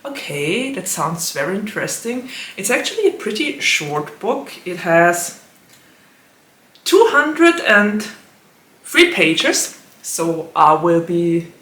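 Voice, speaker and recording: A slightly raspy, hoarse woman chats about her reading plans at an unhurried pace, giggling between phrases. Her voice sits bright and forward, and the room around her sounds echoey. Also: on the low side, sing-song. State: hoarse from allergies. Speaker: book vlogger. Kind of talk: casual book vlog chat.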